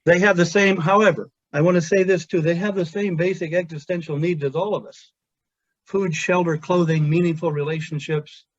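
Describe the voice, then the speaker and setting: A male chaplain whose first language is Pennsylvania Dutch, giving a measured, earnest webinar talk with pauses and restarts. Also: on the high side, steady tone, dry recording. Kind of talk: webinar presentation. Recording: dull, dry lo-fi audio.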